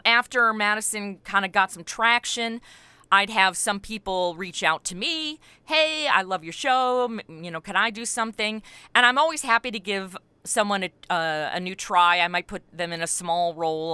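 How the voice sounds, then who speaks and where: Middle-aged female podcast guest, forward bright tone in a dry, close recording, informal and animated. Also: mid-range voice, normal speed, clean tone, no room sound. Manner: animated, self-deprecating, acts out quoted remarks. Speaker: podcast creator and voice actor, interview guest. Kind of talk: casual interview chat.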